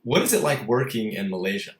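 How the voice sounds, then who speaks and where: A man's voice: on the low side, animated, quick pace. A man hosting an interview, putting conversational questions to a guest. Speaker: interviewer, host. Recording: roomy, echoing room sound.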